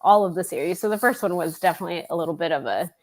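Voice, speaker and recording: A woman's voice: lowish voice, racing. A woman in a casual book discussion, offering her opinions tentatively as a panelist. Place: online group live stream.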